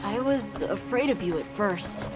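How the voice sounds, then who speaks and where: A woman's voice: mid-range voice, expressive, measured pace, loud music behind the voice, lightly acted. A woman playing an earnest young boy keeper. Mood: reflective. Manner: earnest, determined, sincere. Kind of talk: video game cutscene dialogue.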